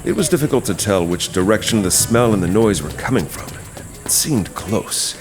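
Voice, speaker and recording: A man narrating a horror audio drama as host, in a measured, dramatic storytelling manner with pauses. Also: fairly low pitch, animated, music in the background.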